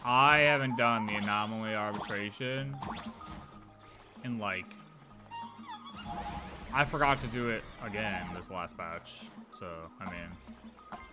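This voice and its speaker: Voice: medium pitch, slow, pauses often. Playful game streamer over music. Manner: playful, exclamatory, repeats phrases, mild swearing. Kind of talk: casual live gaming commentary.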